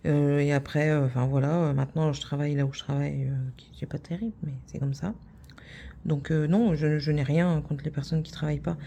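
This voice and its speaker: A woman's voice: low voice, fairly even intonation, a little fast, creaky, dark tone, a little hiss or hum. A middle-aged woman from France, hosting a craft channel and chatting casually, rambling with many fillers and restarts.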